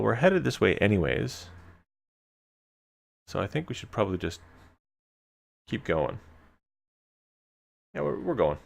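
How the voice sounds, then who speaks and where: A man with a fairly low voice and a heavy creak reads a fantasy gamebook to his stream. He moves between storyteller narration and offhand remarks to viewers, with lively rises and drops in pitch. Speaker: live-streamer reading a gamebook aloud. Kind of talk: live gamebook read-aloud with casual asides to viewers.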